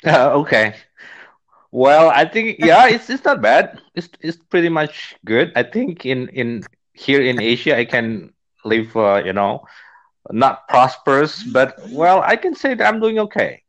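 A man's voice: mid-range voice, big pitch swings, low-quality recording. An Indonesian man with an Indonesian accent, a business trainer chatting on a call and speaking with frequent fillers and restarts. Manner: halting, many fillers and restarts. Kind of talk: casual conversation.

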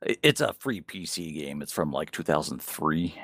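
Deep-voiced man, dry close sound. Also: animated, normal speed, fairly bright tone, dry recording. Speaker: podcast co-host. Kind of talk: casual video game chat.

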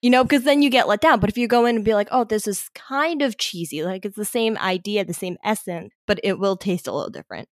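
A young woman talks in a relaxed podcast chat, wandering through her thoughts with plenty of hedges and restarts. Her voice has an everyday middle pitch and sounds dry and close to the mic. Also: fast talker, few pauses, dry recording. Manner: rambling, thinks aloud with many hedges. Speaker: podcast co-host. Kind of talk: casual chat.

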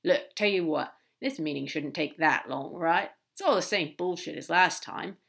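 A woman's voice: sits low, moderate pace, gravelly. A woman voicing a brash, affectionate male demon in audiobook dialogue, blunt, casual and emphatic. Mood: breezy, insistent.

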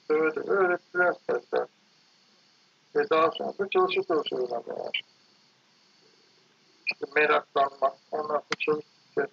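Casting: man, very raspy and creaky, halting and self-correcting interviewee, casual and reflective, dull lo-fi sound. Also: fairly high pitch. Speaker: architect guest being interviewed.

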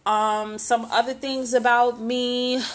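A woman's voice: on the high side, flat delivery, slow pace. A woman in her late twenties hosting a casual solo podcast intro, rambling and candid, with lots of filler and mild swearing. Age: 20s. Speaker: podcast host.

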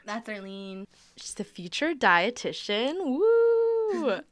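A woman's voice: a little high, huge pitch swings, slow, dry recording. A twenty-year-old Canadian woman co-hosting a podcast in casual, giggly, slangy chat with a friend. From Vancouver, Canada. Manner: informal, youthful slang. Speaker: podcast co-host.

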